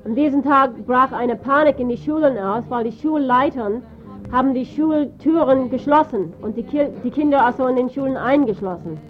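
Hesitant woman interpreter, slightly high voice. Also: moderate pace. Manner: hesitant, searching for words. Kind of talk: consecutive live interpreting. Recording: dull, faintly noisy archive tape.

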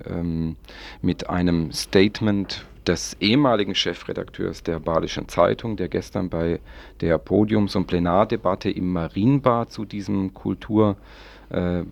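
Deep, creaky-voiced male radio presenter. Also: a little mellow. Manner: halting, hesitant, long winding sentences. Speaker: radio magazine host. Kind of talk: semi-improvised local news presentation. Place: radio studio.

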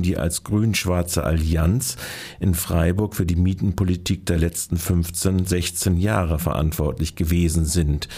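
A man giving a local news commentary in a measured, halting way, with pauses between phrases. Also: deep voice, dark tone, husky. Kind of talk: spoken commentary introducing a recorded clip.

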